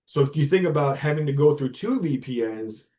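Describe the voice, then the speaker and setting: A man's voice: on the high side, animated, brisk, dark tone. A man giving an informal guest lecture to university students. He talks conversationally, with frequent fillers and repeated words, and stops to explain terms plainly.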